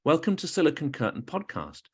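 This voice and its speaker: A man hosting a podcast, giving a formal introduction and asking interview questions in a measured way. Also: a little high, wildly swooping pitch, husky. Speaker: podcast host.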